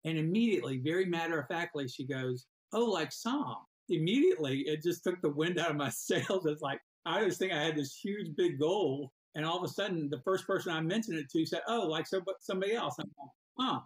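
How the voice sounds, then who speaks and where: A man's voice: on the high side, lively intonation, a little fast, few pauses, husky. A man of about sixty, an athlete guest telling a personal story casually, acting out quoted dialogue. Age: around 60.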